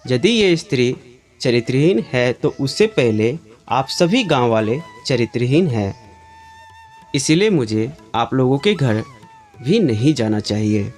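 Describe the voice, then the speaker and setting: A man narrates as a serene monk teacher from a moral storybook. He preaches in a measured way at a middle pitch, with varied intonation and music behind. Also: expressive, a little mellow, close to an everyday voice. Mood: calm, teaching. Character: serene wise male monk teacher, calmly instructive. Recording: background music under the voice.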